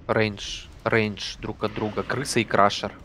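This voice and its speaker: A man with a lowish voice barks quick raid orders into voice chat, swearing now and then. His calls are brisk and commanding. A faint noise sits under the line. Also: flat delivery, fairly bright tone. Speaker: raid leader. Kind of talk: calling raid instructions over voice chat.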